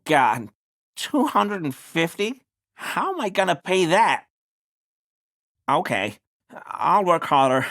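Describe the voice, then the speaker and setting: A man's voice: fairly high pitch, fairly bright tone, heavily acted. A male actor playing a nervous, apologetic townsman in a video game, pleading and hesitant as he answers to the police. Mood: contrite, pleading. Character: nervous, grovelling small-time bigoted townsman under police questioning. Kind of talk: scripted video game character dialogue.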